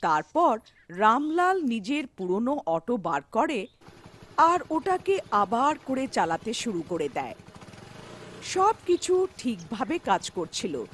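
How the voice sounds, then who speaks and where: Slow, high-voiced woman storyteller. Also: big pitch swings, a little hiss or hum. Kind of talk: scripted horror folktale narration.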